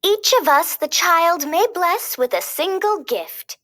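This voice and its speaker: A woman voicing a kindly fairy godmother in a storybook, speaking in a gentle, sweet singsong. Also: very high voice, animated, slow, pitched above the performer's own voice, heavily acted. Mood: sweet, tender. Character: kindly good fairy godmother blessing a baby princess.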